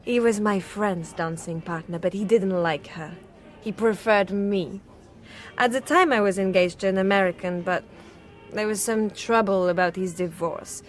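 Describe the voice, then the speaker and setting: A woman's voice: mid-range voice, normal speed, faint music behind, natural voice. Worldly dancer woman, played by an actress. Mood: flirtatious, candid. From Serbia. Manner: coquettish, frank, precise formal phrasing. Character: worldly, flirtatious dancer woman, frank and unsentimental. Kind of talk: radio drama acting.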